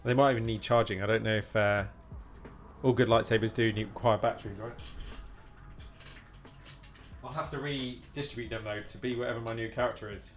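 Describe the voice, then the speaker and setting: A man's voice: lowish voice, fairly even intonation, fast, faint music behind. A man with a British accent streaming a game, giving casual, chatty commentary and reacting aloud as he goes. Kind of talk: casual live gameplay commentary.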